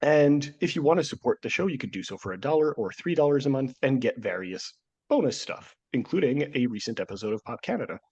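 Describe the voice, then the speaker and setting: A man's voice: mid-range voice, big pitch swings, quick pace, a little mellow, breathy edge, muffled recording. A man hosting a casual history podcast, talking through a cold that nearly took his voice, joking and laughing often. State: nearly lost voice, sick. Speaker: podcast host. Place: home studio, remote.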